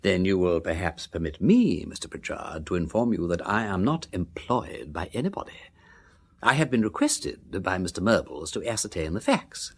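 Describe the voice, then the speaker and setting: A breezy aristocratic sleuth with an upper-class English accent. The voice is low and husky, swinging wildly up and down in pitch. He drawls affectedly and tacks little questions onto his remarks, as in a radio play. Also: sing-song, normal speed, exaggerated voice. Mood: stiff, indignant. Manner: affected silly-ass drawl with tag questions. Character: aristocratic gentleman sleuth, breezy, chatty, shrewd underneath. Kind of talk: radio drama acting.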